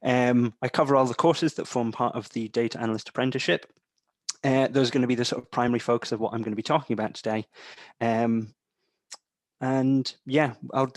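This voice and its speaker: A man's voice: medium pitch, no echo. Chatty young man with mild Scottish accent. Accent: mild Scottish, softened by English parents. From Scotland. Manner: chatty, lots of ums and tag "right?". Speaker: data analytics instructor. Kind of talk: webinar-style lecture.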